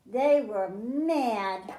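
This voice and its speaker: A woman's voice: on the high side, expressive, drawn-out words, warm tone, reverberant. A woman librarian reading a picture book aloud to children, stressing the m sounds in a sing-song storytime way, then explaining a science activity. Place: library room.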